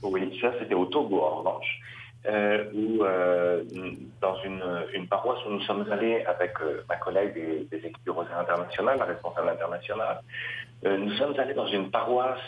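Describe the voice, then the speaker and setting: A middle-aged man from western France, a Dominican priest, answering interview questions with many pauses and restarts. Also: low voice, a little hiss or hum. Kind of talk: radio interview. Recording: roomy, slightly dull radio sound.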